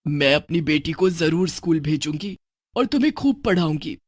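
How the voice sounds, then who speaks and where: A woman voicing a loving witch mother, speaking gently but firmly in a children's cartoon story. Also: low voice, lively intonation, normal speed, husky, no echo, clean studio sound, voice pushed down. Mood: tender. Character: loving, protective witch mother with magic powers.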